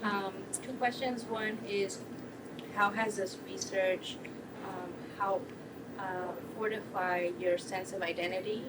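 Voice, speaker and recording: A woman speaking up from the back of the room, a former scholar of the program, praises the presenter warmly and then asks two questions. Her voice is distant, with clear background noise. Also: medium pitch, steady tone, slow. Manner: warm, praising. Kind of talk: asking a question.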